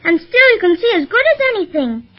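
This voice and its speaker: A woman's voice: very high-pitched, big pitch swings, fast. An actress playing an inquisitive, persistent little girl in an old-time radio serial. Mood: curious. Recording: muffled vintage radio recording.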